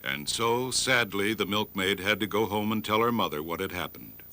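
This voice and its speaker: Storybook narrator for kids fables, lowish lively voice, measured, music behind. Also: male voice. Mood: storytelling. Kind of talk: scripted children's story narration.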